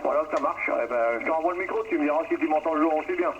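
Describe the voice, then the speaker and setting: Faraway radio with faint static, guy with a high voice chatting casually on cb. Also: racing, distant mic, narrow, tinny audio. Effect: two-way radio transmission. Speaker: amateur CB radio operator. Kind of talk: casual radio contact chat.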